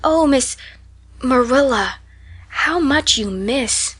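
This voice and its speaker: A woman's voice: sits high, animated, slow, a bit bright, breathy edge, voice pushed up, exaggerated voice. A woman voicing an eager, talkative orphan girl who speaks in a breathless, imploring, dreamy way in an audiobook. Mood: wistful. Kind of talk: audiobook character voice.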